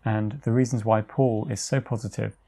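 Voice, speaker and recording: A man's voice: lowish voice, fairly even intonation, moderate pace, mellow. A man hosting a podcast, moving between scripted introductions and interview questions. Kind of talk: scripted narration and friendly interview.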